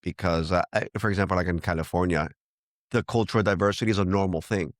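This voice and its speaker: Very deep-voiced man, Venezuelan-tinged accent, dry sound. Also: fairly even intonation, quick pace, dry recording. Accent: Venezuelan Spanish-tinged American English. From United States. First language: Spanish. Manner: rambling storyteller, many restarts and quoted dialogue. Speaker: podcast guest. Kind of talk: casual conversation.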